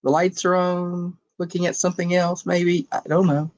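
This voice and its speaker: A woman's voice: fairly low pitch, flat delivery, normal speed, a little mellow. A woman from Texas hosting a casual video call chat, telling stories and laughing often. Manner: chatty storyteller, laughs often.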